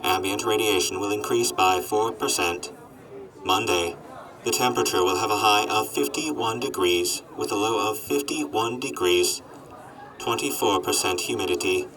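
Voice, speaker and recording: A miserable, self-loathing robot voiced by a man drones through his lines in a flat machine monotone. The voice sits low but has a bright, forward edge. He is heard from a little way off the mic, with faint music underneath. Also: moderate pace, distant mic, close to an everyday voice, poor audio. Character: gloomy miserable male robot on treads. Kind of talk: radio drama acting.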